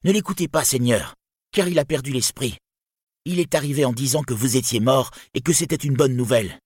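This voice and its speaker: A man puts on a village woman full of scorn. The voice is strident and raised in pitch, with a dry sound, in dramatic storybook style. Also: brisk, a little mellow, breathy edge, dry recording, close to an everyday voice. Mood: scornful, urgent. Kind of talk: dramatic fantasy storybook reading.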